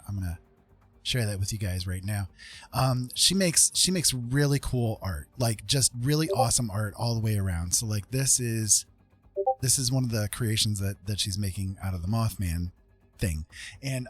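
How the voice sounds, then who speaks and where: A man hosting a livestream in casual chat, talking in a rambling, halting way and often saying "you know". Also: on the low side, breathy edge, soft background music. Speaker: livestream host.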